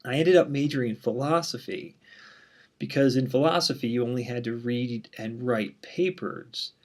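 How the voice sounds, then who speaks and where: A man in his thirties with a mild stutter, introducing himself to his online class as the instructor in a relaxed, candid way with frequent "um"s. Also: medium pitch, normal speed. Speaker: university instructor. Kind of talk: casual course introduction.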